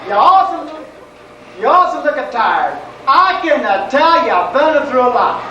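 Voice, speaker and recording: A fussy, middle-aged Italian cafe owner, acted by a performer speaks high, broken English in a put-on Italian accent and tags "eh?" onto his lines. The voice sounds distant in a very roomy space. The recording is dull with faint hiss. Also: sing-song, unhurried, bright, forceful tone, full character voice. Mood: fussy welcoming. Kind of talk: amateur radio-play acting.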